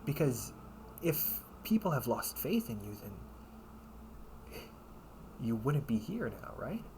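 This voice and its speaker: A man playing a loyal knight comrade, earnest and encouraging, in an intimate dramatic audio roleplay with halting, breathy phrasing. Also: medium pitch, very animated, slight background noise. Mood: urgent, chiding. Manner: slow, halting delivery with breathy, hushed phrases.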